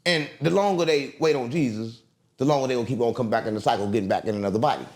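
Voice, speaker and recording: A man's voice: medium pitch, very fast. A man giving a brash, rambling interview, swaggering and cursing as he talks. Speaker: interview guest. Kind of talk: heated interview talk.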